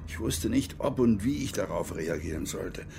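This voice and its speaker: A man's voice: on the low side, lively intonation, moderate pace, creaky, darkish tone, slight background noise. An actor playing a stern, agitated older army major and father in a radio crime drama. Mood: reflective recounting. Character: stern, agitated East German army major, father, recounting his past.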